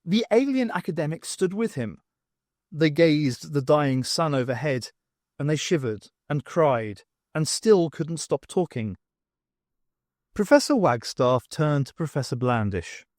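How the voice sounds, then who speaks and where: A man reading a novel aloud as an audiobook, with expressive, dramatic narration. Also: on the high side, measured pace, dry recording, studio quality. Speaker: audiobook reader. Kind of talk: scripted fiction narration with acted dialogue.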